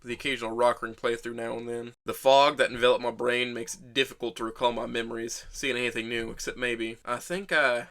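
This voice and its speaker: A man's voice: medium pitch, fairly bright tone, close to an everyday voice. A man playing a weary, injured young man who narrates his own story in an audio drama, wry and sweary. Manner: wry, sweary, self-deprecating.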